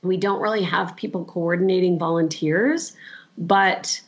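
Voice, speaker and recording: Middle-aged woman guest, lowish voice, talks in thoughtful, halting restarts. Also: steady tone. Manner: thoughtful, hesitant with many fillers and restarts. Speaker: guest, language program director. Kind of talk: casual conversation.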